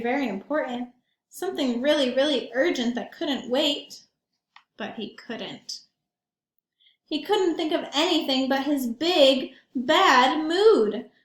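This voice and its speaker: A high-pitched woman reads a children's picture book in an echoing room. She is animated and emphatic, and she turns to her young listeners with simple questions as she goes. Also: a little slow. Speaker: read-aloud storyteller for young children. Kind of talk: expressive picture-book read-aloud with asides to children.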